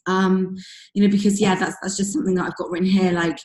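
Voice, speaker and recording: A woman with a husky, fading voice, coaching her sales team on a call in a chatty way full of fillers. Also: mid-range voice, little pitch movement, fast talker, darkish tone. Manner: chatty, many fillers like "um" and "you know". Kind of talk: casual motivational coaching talk. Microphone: video call. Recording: echoey room over a video call.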